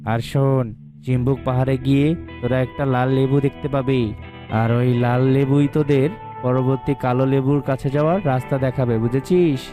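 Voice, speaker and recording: A man's voice: medium pitch, steady tone, normal speed, music in the background, close to an everyday voice. A man voicing a grave, commanding old owl mystic who gives solemn counsel in a children's cartoon. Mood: grave warning.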